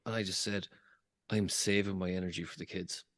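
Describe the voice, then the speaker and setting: A man hosting a podcast interview, asking questions and sharing a personal story in a soft-spoken way. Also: sits low, little pitch movement, brisk, in a large hall. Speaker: podcast host.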